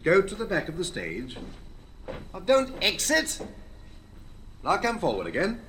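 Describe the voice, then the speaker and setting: A blustery bossy theatre producer, highish voice leaping all over, faint noise. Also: male voice, huge pitch swings, measured pace, a bit bright, exaggerated voice. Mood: exasperated, bossy. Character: bossy, exasperated male theatre producer running a rehearsal. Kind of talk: stage drama acting.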